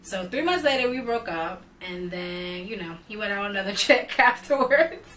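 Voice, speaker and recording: A woman's voice: mid-range voice, moderate pace, slight background noise. A young woman co-hosting a couple's vlog, telling a storytime casually and animatedly, with lots of "like". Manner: animated, heavy use of "like", rising excitement. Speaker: vlog co-host.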